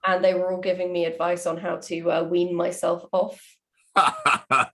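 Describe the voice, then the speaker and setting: A woman's voice: on the low side, fairly even intonation, moderate pace, fairly bright tone. A woman chatting casually on a podcast in a wry, conversational manner. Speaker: writer and podcast co-host.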